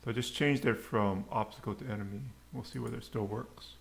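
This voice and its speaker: A man giving an unscripted coding tutorial, haltingly muttering to himself as he types. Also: fairly low pitch. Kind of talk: unscripted screencast coding tutorial, thinking aloud. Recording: clear background noise, keyboard clicks. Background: typing and mouse clicks.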